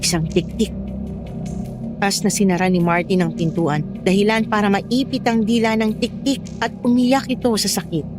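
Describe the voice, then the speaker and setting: A woman's voice: medium pitch, normal speed, music in the background. A woman reading a scripted first-person horror story as its narrator.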